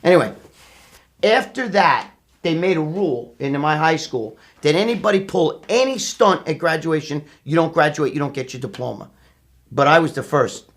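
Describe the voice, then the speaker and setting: A man's voice: a little high, normal speed. A middle-aged man with a New York accent telling personal stories casually, brash and profane, acting out what people said. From New York, USA. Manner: brash, profane, animated retelling with acted quotes. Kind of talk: casual anecdotal storytelling.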